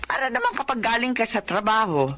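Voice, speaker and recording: A woman's voice: a little high, animated, brisk, fairly bright tone, slight background noise. A middle-aged woman playing a worried mother who pleads with her daughter in a Filipino radio drama. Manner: fretful, pleading.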